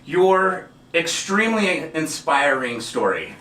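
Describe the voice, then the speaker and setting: A woman's voice: low voice, very animated, slow pace, fairly bright tone. A woman hosting a casual interview, introducing her guest and responding with short encouraging interjections. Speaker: host. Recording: echoey room, distant mic.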